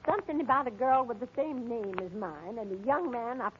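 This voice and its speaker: A woman's voice: a little high, lively intonation, a little fast, background noise, close to an everyday voice. An actress playing an elderly woman in an old-time radio drama, by turns befuddled and steely. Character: elderly woman, outwardly befuddled, secretly hard and menacing. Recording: muffled old radio recording.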